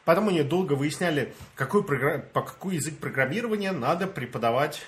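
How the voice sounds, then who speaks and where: A man's voice: a little high, fast talker. A young man from Russia, telling a story in casual chat with friends and acting out the dialogue. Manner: animated storyteller, acts out dialogue, laughs often.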